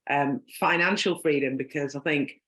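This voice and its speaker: A woman's voice: low-pitched, moderate pace, fairly bright tone. A woman with a Northern English accent, hosting a podcast interview in a chatty, rambling way full of "um" and "like". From Northern England. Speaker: podcast host. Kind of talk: casual conversational interview. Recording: echoey room.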